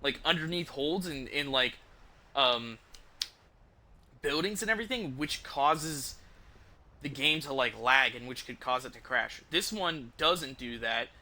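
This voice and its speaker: Bright, forceful male game streamer. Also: medium pitch, animated, unhurried. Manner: informal, enthusiastic, rambling. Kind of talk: casual live stream commentary.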